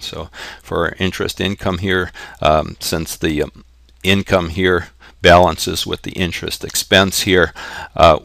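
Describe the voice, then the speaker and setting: Deep, gravelly man explaining step by step. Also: pushed and bright, no room sound. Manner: methodical, repetitive step-by-step explaining. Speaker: accounting instructor. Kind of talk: explanatory tutorial narration. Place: close, dry voice-over for a screen recording.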